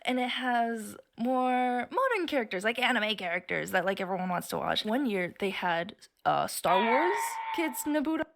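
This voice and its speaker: A young woman from Japan streaming and chatting casually, animated and swearing freely as she acts out what other people say. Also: a little high, moderate pace, vocal fry, hardly any pauses. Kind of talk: casual chat. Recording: music playing behind.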